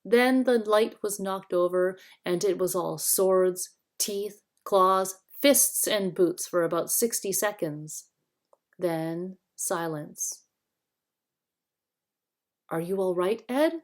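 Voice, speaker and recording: Unhurried woman narrating a storybook. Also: fairly low pitch. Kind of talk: scripted audiobook narration, expressive children's story reading.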